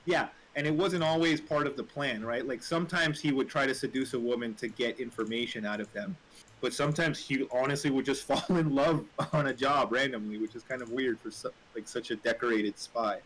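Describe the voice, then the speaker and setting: A man's voice: mid-range voice, brisk, a bit bright. Man chatting casually over noisy keyboard clatter. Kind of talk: casual chat, opinionated film talk.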